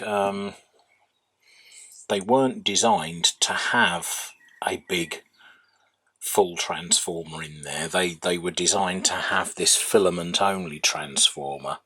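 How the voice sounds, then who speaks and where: Deep, husky, slow-talking man. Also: sharp tone, poor audio. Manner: hesitant, thinking aloud. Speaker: hobbyist radio restorer. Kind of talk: unscripted hands-on workbench narration.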